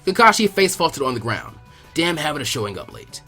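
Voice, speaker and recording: A man's voice: on the high side, wildly swooping pitch, brisk, pushed and bright, slightly rough, soft background music. A man reading a scripted fan fiction story aloud as its narrator.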